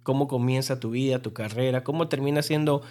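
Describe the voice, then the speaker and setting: A man's voice: mid-range voice, steady tone, fairly quick, darkish tone, slight background noise. A man hosting a podcast, opening with an upbeat radio-style introduction before asking his guest casual questions. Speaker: podcast host. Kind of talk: podcast interview.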